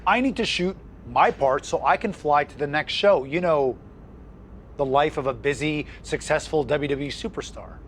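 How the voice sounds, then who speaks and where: A man's voice: on the high side, normal speed, close to an everyday voice. A man playing a smug, spiteful young wrestling champion in a video game, condescending and boastful, with noisy ambience behind him. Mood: smug, mocking. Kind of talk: video game cutscene acting.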